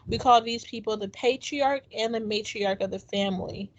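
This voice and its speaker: Woman cohost talking earnestly, kinda high voice, dull muffled audio, lots of ums. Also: moderate pace. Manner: earnest, reflective, many ums. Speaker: podcast co-host. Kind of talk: casual chat.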